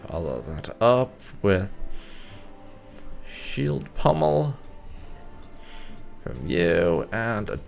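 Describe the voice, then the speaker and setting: A man's voice: lowish voice, very animated, extremely slow, creaky, long pauses, faint music behind. A man giving casual, dry let's play commentary on a video game, talking to the characters as he plays.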